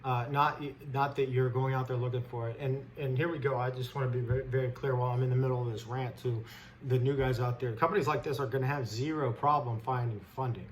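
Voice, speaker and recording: A man's voice: medium pitch, steady tone, fast talker, low-quality recording. A man who hosts stock commentary, delivering an unscripted, blunt, indignant rant in informal language. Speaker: stock trader host giving commentary. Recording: clear background noise.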